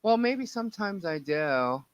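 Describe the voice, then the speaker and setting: A woman with a deep voice co-hosting a casual podcast, talking emphatically and at length. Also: big pitch swings, a little slow, no room sound, highs cut off. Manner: emphatic, talks at length, repeats herself. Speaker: podcast co-host. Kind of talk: casual opinionated chat.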